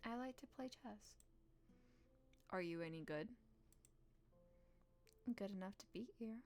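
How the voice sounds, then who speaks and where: A woman live streamer reading a visual novel aloud in a playful, expressive way with casual reactions. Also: mid-range voice, a little slow.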